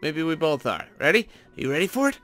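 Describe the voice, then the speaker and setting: A man with a slightly high voice gives casual commentary for a gaming video. He sounds chatty and playful, thinking aloud as he goes. Faint music plays behind him. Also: very animated, quick pace, fairly bright tone. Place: indoor voice-over recording over gameplay. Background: background music.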